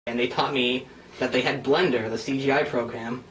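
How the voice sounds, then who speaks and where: A man's voice: mid-range voice, fairly even intonation, moderate pace, a bit bright. A man in his twenties chatting casually as host, rambling and self-mocking as he explains his own writing. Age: 20s. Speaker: host and scriptwriter presenting old scripts. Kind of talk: casual, giggly group chat. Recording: echoey room, distant mic, background noise.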